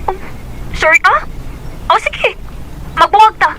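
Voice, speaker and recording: A woman's voice: very high voice, expressive, slow, bright, forceful tone, a little hiss or hum, exaggerated voice. An actress with a Cebuano accent playing a distant young girlfriend who answers curtly and defensively. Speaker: radio drama actress. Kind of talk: Cebuano radio drama acting.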